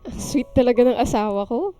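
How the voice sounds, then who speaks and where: A woman's voice: fairly high pitch, lively intonation, fairly quick, warm tone, lightly acted. A woman playing an affectionate, playful young wife in a radio drama, with music behind her. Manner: teasing, sweet. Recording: music under the voice.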